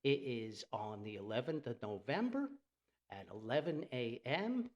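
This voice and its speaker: Animated American man hosting a podcast. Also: medium pitch, measured pace, highs cut off. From New Jersey, United States. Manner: theatrical, folksy, exclamatory, repeats words for emphasis. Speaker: podcast host. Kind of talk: chatty solo podcast monologue with sponsor reads.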